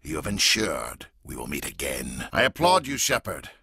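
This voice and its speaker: A man voicing a menacing, fanatical alien warlord for a video game, gravelly and raspy, with a grandiose, deliberate, intense delivery. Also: very deep voice, big pitch swings, sharp tone, no echo, full character voice. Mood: bitter, defiant. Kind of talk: dramatic video game voice acting.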